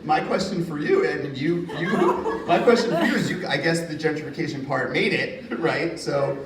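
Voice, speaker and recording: A man from New Jersey, an author at a university reading, telling lively anecdotes and acting out quotes with bursts of laughter. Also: fairly high pitch, normal speed, a crowd in the background. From Hudson County, New Jersey. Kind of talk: casual storytelling in a Q&A. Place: university reading event hall. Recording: roomy, distant, faint ambience.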